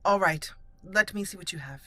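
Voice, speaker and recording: A woman's voice: medium pitch, moderate pace, bright, forceful tone, no room sound. A woman hosting a roleplay let's play, chatting and explaining as she plays, with music behind her. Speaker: gaming channel host.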